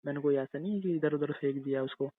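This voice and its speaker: A man's voice: a little high, fast, a little hiss or hum, close to an everyday voice. Man playing a teasing young husband, phone-like sound. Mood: mock sulky. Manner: teasing, coaxing, repetitive insisting. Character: teasing, wheedling young husband, playfully sulky. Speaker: husband in a couple's call. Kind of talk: intimate casual couple banter. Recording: tinny narrow phone-call sound.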